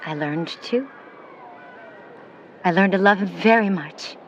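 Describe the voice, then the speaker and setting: A woman playing a skeptical, worried middle-aged mother in a 1950s period audio drama, speaking in a proper, measured way. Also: on the low side. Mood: guarded, tender. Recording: dull, noisy recording with ambience.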